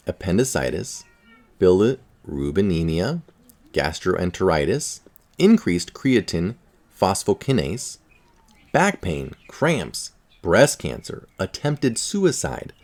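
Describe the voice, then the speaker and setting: Low-pitched man reading an article aloud. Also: a little mellow. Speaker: article reader.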